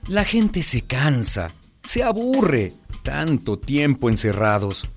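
Male radio drama narrator: folksy, wry, unhurried, richly varied intonation. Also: mid-range voice, big pitch swings, over music. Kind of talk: expressive storytelling narration.

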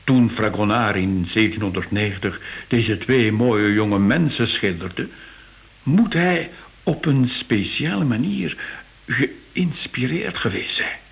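Slow, solemn, dreamy art curator. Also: male voice, lowish voice, animated, vocal fry, heavily acted. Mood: fervent, reflective. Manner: solemn, reflective, deliberate. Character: earnest, mystically minded male art curator, spellbound by old portraits. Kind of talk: Dutch-language radio play acting.

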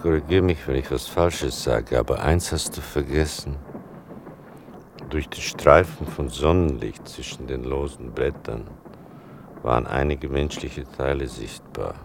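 A very deep, somber man. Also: vocal fry, background noise, exaggerated voice. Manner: deliberate, somber. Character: grave, exacting man recalling deportation horrors. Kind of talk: radio drama narration.

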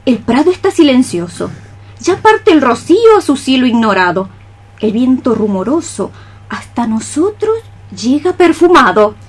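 A woman's voice: sits high, very animated, measured pace, breathy edge, heavily acted. A woman playing a melancholy, dreamy cockroach mystic in radio theatre, sighing her lines as solemn lyrical verse.

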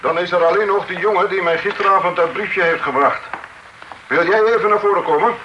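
A man's voice: high-pitched, muffled recording, noisy background, close to an everyday voice. Man playing a formal presiding magistrate. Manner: measured, formal questioning. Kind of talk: period radio drama acting.

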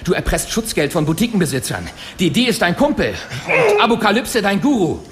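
An actor playing a hard, bitter, vengeful police detective in a radio drama, speaking in a curt, intense, biting way. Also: male voice, sits high, wildly swooping pitch, a little fast, very noisy. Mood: mocking menace. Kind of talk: German radio drama acting.

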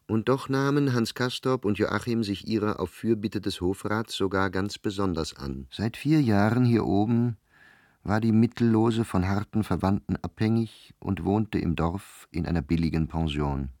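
A man narrating a literary radio drama in measured, formal storytelling. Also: lowish voice, darkish tone, no room sound, studio quality.

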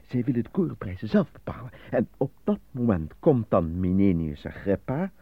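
Dull old radio play, a jittery theatrical actor, pitch all over the place. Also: male voice, very animated, normal speed, dry recording. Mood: nervous, subdued. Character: anxious, apolitical stage actor pleading under interrogation. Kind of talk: Dutch radio drama acting. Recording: dull lo-fi radio drama recording.

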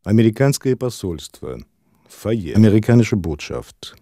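A man's voice: fairly low pitch, animated, moderate pace, dark tone, no echo, studio quality. A man narrating a scripted radio drama, tersely announcing scenes phrase by phrase in two languages.

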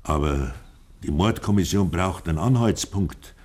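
An actor playing a polite male police inspector who questions in a formal, hesitant, measured way, in a 1950s German radio play. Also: low voice, animated, darkish tone. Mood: formal, careful.

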